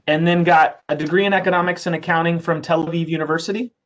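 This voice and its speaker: A man's voice: a little high, fairly even intonation, fast talker. A man hosting an interview who chats in a friendly way and reads out the guest's background. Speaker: interviewer, council host. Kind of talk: casual interview chat.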